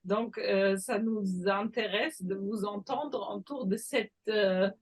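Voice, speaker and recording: Slow, halting woman moderator. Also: mid-range voice, breathy edge. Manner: hesitant, halting questions. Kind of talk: panel discussion.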